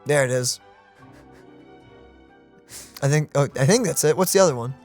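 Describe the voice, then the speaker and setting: A woman casually reacting to a video game as a streamer, expressive and incredulous. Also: sits low, moderate pace, faint music behind.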